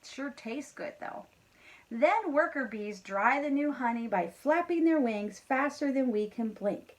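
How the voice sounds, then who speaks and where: A woman reading a children's story aloud in an expressive, encouraging way, talking directly to young listeners with casual asides. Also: high-pitched, unhurried. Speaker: teacher reading a bedtime story to pupils.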